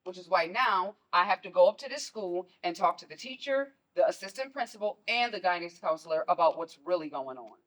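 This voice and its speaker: A woman's voice: on the low side, lively intonation, fairly quick, hardly any pauses, low-quality recording. Woman acting a stern, protective mother. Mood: worried, annoyed. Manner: forceful, scolding, rhetorical questions. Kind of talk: stage play dialogue.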